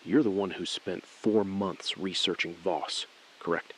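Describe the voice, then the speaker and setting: An actor playing a coolly complicit male bank insider in a scripted audio thriller, measured, evasive and precise. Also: low voice, steady tone, a little hiss or hum, rough recording. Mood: controlled.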